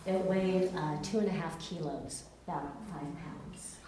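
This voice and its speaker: A woman's voice: fairly low pitch, fairly even intonation, a little slow, crowd noise. A woman born in Taiwan and raised in California, candidly telling her personal testimony in an earnest, storytelling way. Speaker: speaker giving a personal testimony. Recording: very echoey room, distant mic, faint noise.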